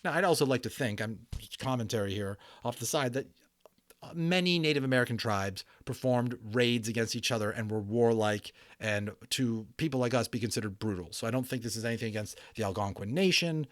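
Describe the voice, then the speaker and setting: A man's voice: medium pitch, a little fast, no echo. A man narrating a storybook in a dramatic reading, with theatrical emphasis and a storyteller's delivery. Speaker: audiobook narrator.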